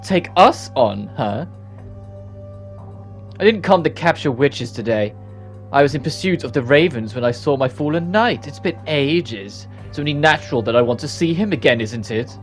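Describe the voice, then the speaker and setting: A sly, haughty knight commander who hunts witches, a woman character read by a man. The delivery is condescending and taunting, at a medium male pitch. Faint game music sits behind the fantasy dialogue. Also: lively intonation, moderate pace, natural voice. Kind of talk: reading game cutscene dialogue.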